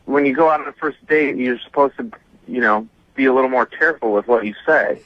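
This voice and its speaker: A man's voice: medium pitch, fairly quick, dry recording. Hesitant man on a dull phone line. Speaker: phone-in guest. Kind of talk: conversational phone call.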